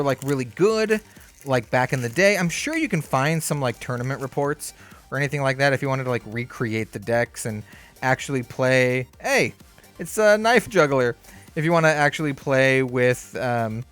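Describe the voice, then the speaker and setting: A man hosting a card game channel, chatting casually through an unboxing, easygoing and upbeat with lots of fillers, his voice a bit hoarse. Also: mid-range voice, fairly bright tone. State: slightly hoarse.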